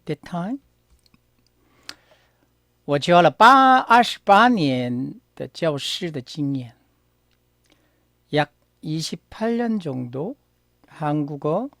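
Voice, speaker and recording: A middle-aged Korean man teaches beginners in English, which is not his first language, and he has a Korean accent. He speaks very slowly and deliberately, leaning on key words. The sound is dry and close, with almost no room around him. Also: on the high side, vocal fry, a bit bright, dry recording. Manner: slow and careful, stressing key words, asking learners questions.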